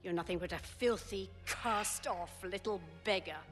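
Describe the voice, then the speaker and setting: An actress playing a cold, haughty, contemptuous villainess with a sneering manner in a video game cutscene. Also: female voice, mid-range voice, unhurried, soft background music, close to an everyday voice. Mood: scornful.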